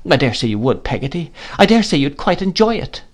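A man's voice: sing-song, unhurried, creaky, full character voice. A man voicing a pretty young mother who is tearful, easily wounded and reproachful, in a read-aloud story. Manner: high, pleading and reproachful.

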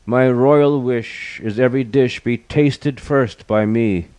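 A man's voice: medium pitch, slow pace, a little mellow, clean tone, dry recording, pitched above the performer's own voice, natural voice. A man voicing a pompous, imperious lion king in a declamatory, commanding manner.

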